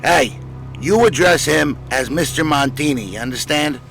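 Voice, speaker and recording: A man playing a tough, loyal gangster's right-hand man in an audio drama, curt and forceful. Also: on the high side, expressive, moderate pace, gravelly, rough recording. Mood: threatening. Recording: music behind the voice.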